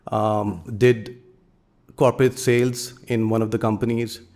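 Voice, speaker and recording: A Pakistani man in his thirties talks about his company in a measured, businesslike way. His voice is lowish and stays level, with little rise or fall. He pauses and restarts now and then as he explains. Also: flat delivery, a little mellow. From Lahore, Pakistan. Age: 30s. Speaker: company co-founder, guest. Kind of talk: interview answers.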